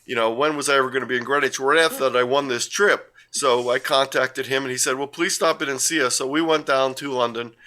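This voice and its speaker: A man's voice: medium pitch, steady tone, fast talker, few pauses, sharp tone. Older man telling rambling travel stories. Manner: rambling, with frequent uh and self-corrections. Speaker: guest presenter. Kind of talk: casual talk with slides.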